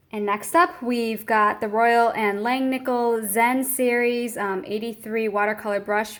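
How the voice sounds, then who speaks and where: A chatty young woman with a fairly high voice walks through an art supply unboxing. She talks casually, adds frequent ums, and reads product details aloud.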